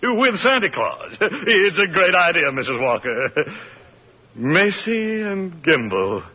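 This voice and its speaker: A man's voice: high voice, sing-song, slow pace, slightly rough, heavily acted. A man playing a bluff, hearty department store boss in 1940s radio style, blustery and businesslike. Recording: muffled vintage 1940s radio broadcast.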